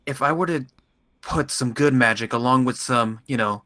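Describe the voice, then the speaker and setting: A man playing a dutiful, wary good mage allied with fairies in an improvised Minecraft fantasy roleplay, speaking in a measured, hesitant, concerned way. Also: medium pitch, sharp tone, close to an everyday voice. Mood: thoughtful. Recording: voice over background music.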